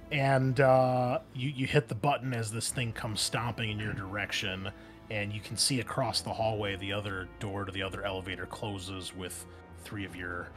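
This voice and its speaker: A man narrating a tabletop roleplaying game as game master, improvising in a halting, conversational way. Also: mid-range voice. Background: background music.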